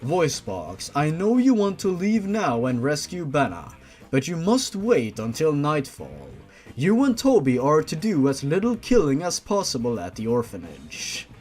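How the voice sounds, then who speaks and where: A man voicing a stern, protective supernatural father in a read-aloud story, formal and measured. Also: a little high, huge pitch swings, a little mellow. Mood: stern, instructing. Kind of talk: story reading in character.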